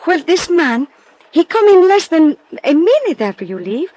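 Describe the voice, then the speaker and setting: A woman's voice: very high voice, sing-song, moderate pace, darkish tone, no room sound. An actress playing a polite, eager female clerk with a Spanish accent and earnest broken English, in classic radio drama style. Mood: earnest, apologetic.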